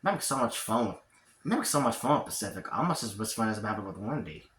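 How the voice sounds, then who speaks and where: A man's voice: lowish voice, lively intonation, racing, a bit bright, slight background noise. A man voicing an awkward, mopey, lovesick cartoon preteen boy in a hesitant, defensive way, with a roomy echo. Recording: roomy, echoey sound.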